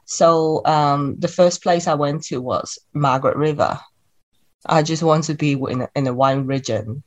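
A woman's voice: sits low, a little slow. Chatty Hong Kong woman, podcast guest. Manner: chatty, with many fillers and restarts. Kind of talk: podcast interview.

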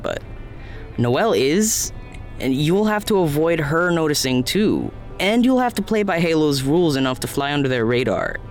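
An actor playing a worried, morally serious friend in an audio drama, cautioning in plain, measured, casual words. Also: male voice, a little high. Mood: wary, concerned. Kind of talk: naturalistic audio drama acting.

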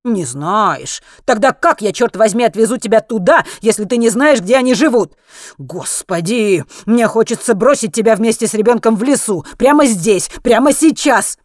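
An irritable man in a storybook drama, acted out by a woman. He is gruff and grudging, quick to snap and swear in exasperation. The sound is close and dry. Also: on the low side, lively intonation, no echo, natural voice. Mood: furious. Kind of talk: acted audiobook dialogue.

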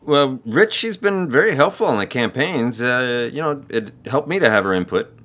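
An actor playing a friendly ad agency art director with an easygoing, casual, flattering way of talking, in an audio drama. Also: male voice, mid-range voice, normal speed, natural voice. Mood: easygoing, supportive.

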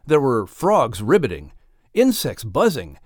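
A man narrating an audiobook story in an expressive, scripted storytelling style. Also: mid-range voice, a little slow, no room sound, studio quality.

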